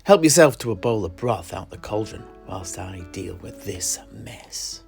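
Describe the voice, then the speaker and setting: A man playing a wry, hospitable tavern keeper in a fantasy audio drama, talking in a measured, old-fashioned way. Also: fairly low pitch, expressive, vocal fry, loud music behind the voice. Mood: genial, wry. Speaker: host.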